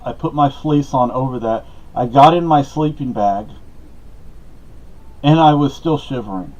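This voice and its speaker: A middle-aged man gives a casual, rambling monologue, conversational and full of asides and personal anecdotes. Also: mid-range voice. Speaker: podcast host giving personal updates. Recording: dull lo-fi audio.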